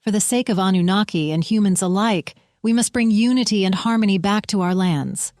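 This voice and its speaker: A woman's voice: medium pitch, a little mellow, natural voice. A woman playing a devout, fervent religious leader in an audio drama, with solemn, declamatory delivery. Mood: resolute. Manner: solemn, declamatory, deliberate oratory. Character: fervent, devout female religious leader rallying her people. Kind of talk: first-person audio drama narration with speeches and prayer. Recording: echoey hall reverb, ambient noise behind.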